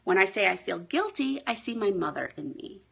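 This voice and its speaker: A woman life coach talking casually on a home live stream, a bit hoarse and often checking in with her viewers. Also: medium pitch, sing-song, brisk, a bit bright. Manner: chatty, often asks "right?". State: hoarse, clearing her throat. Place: at home with pets.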